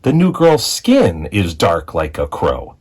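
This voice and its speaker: A man voicing a taunting young schoolboy who leads the pack, calling out in loud jeers in a storybook reading. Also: deep voice, huge pitch swings, slow pace, breathy edge, exaggerated voice. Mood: jeering. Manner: loud, jeering shouts.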